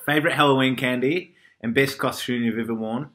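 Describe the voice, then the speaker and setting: A man in his thirties with a New Zealand accent, a musician guest reading out questions plainly and chatting in a relaxed way, recorded indoors by a window on a camera at arm's length. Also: medium pitch, a little fast. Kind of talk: casual chat.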